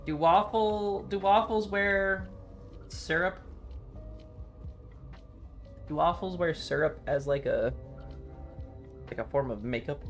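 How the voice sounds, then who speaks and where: A man's voice: high-pitched, unhurried, faint music behind. A man chatting casually on a game livestream, with slangy, sweary banter. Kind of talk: casual game stream chat.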